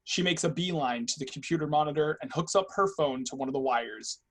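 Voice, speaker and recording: A man narrates a play's stage directions from a script. His voice sits a little high and is noticeably husky, heard over a video call. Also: fairly quick.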